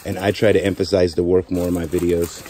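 A man chatting casually while digging through a storage unit, speculating about finds and prices. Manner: chatty, speculating, quick pitch jumps when excited. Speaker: storage auction vlogger. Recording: noisy storage unit recording.

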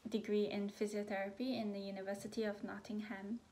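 A woman with a middle-pitched voice. She speaks articulately and thoughtfully. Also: little pitch movement, brisk, warm tone. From Singapore. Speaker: student. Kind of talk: casual testimonial.

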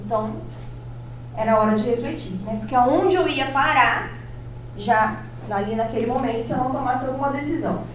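A Brazilian woman with a bright, high voice, talking to a live audience into a handheld mic. Her speech has a Brazilian Portuguese accent, and she keeps checking in with the listeners as she tells her story. The sound rings out through a large, echoey hall and is picked up from well back. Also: brisk, distant mic, a little hiss or hum. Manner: expressive, addresses the audience with frequent tag questions. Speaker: motivational speaker. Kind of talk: personal-story keynote talk. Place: large auditorium on stage. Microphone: handheld mic, filmed by far camera.

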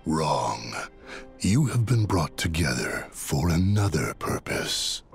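Deep, slow, menacing villain. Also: male voice, sing-song, creaky, soft background music, exaggerated voice. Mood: cold, authoritative. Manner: grave, deliberate, formal. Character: solemn, menacing leader of a dark order.

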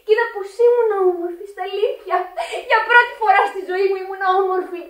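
Very high-voiced girlish teenage daughter. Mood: lovestruck. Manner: girlish, impulsive. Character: eager, lovestruck teenage Italian-immigrant girl, pleading daughter. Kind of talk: Greek radio drama acting. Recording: roomy, distant old radio recording.

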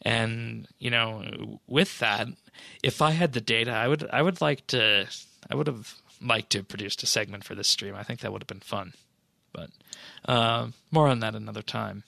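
A man co-hosting a podcast, chatting casually about game news in a measured, hesitant way. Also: medium pitch, creaky, bright, forceful tone, no echo. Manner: measured, hesitant, drawn-out phrasing. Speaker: podcast co-host. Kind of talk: casual gaming news chat.

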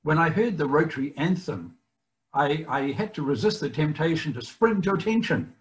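An older man with a New Zealand accent, giving a guest speech over a video call from his home library, often pausing with fillers. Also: on the high side, animated, poor audio. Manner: halting, many fillers and restarts. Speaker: guest speaker.

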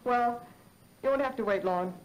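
An actress playing a kindly, composed young hospital telephone operator with an American accent in a 1940s film, polite and reassuring. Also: female voice, fairly high pitch, normal speed, close to an everyday voice. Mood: calm, kindly. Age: young adult. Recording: slightly noisy old film soundtrack.

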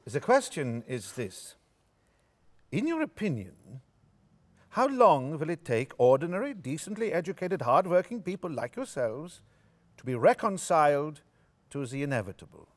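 An actor playing a courteous, smug German occupying officer with a formal, measured, condescending manner in a staged play reading. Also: male voice, a little high, big pitch swings. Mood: polite, probing. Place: theatre stage before an audience.

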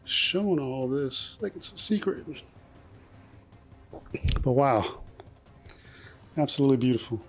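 A man's voice: on the high side, husky. A man in his forties giving an enthusiastic, casual unboxing commentary in a collection room, exclaiming over details with mild swearing. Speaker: collectibles vlogger. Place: indoor collection room with display shelves.

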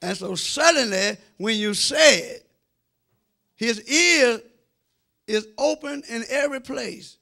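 A middle-aged man preaching an animated, emphatic sermon, repeating phrases and calling for response in a church, on a handheld microphone. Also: very high voice, slow, vocal fry, long pauses. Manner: emphatic, repeating phrases, swinging between shouts and quieter asides. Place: church sanctuary, speaking from the front.

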